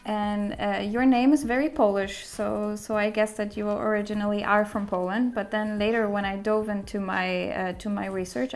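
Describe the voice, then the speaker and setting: A woman hosts an interview podcast in a mid-range voice. She puts her questions conversationally, building them up in long, slightly hesitant phrases. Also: mid-range voice. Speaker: podcast host interviewing a guest.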